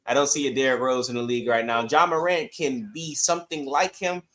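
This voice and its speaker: A man's voice: medium pitch, steady tone, a little fast, a bit bright. A man co-hosting a sports podcast, chatting casually in slangy, emphatic bursts.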